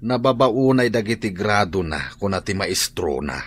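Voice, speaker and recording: A man's voice: on the low side, sing-song, normal speed, husky, no room sound. A man playing a blunt, principled father in a radio drama, with an Ilocano accent. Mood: scolding, blunt.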